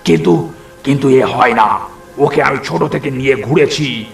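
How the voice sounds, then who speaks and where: A man voicing a protective, anxious ghostly uncle in a horror cartoon, speaking earnestly and deliberately. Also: mid-range voice, creaky, natural voice. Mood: urgent protest. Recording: echoey voice under loud music.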